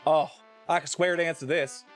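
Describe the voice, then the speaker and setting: A man's voice: fairly high pitch, fairly even intonation, moderate pace, faint music behind. An American man giving casual, joking gameplay commentary and reading text aloud, with playful, folksy drawled asides. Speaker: game streamer.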